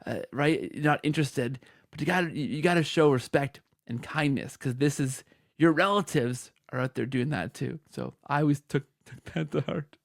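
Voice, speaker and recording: A man hosting a conversational podcast interview, telling stories in an animated, rambling way. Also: a little high, normal speed, gravelly, no room sound, clean studio sound. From Canada. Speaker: podcast host.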